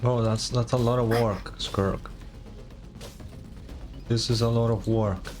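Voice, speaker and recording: A man's voice: mid-range voice, steady tone, slow, over music. A man doing casual live gameplay commentary as a streamer, talking in an informal, slangy, wry way. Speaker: game streamer.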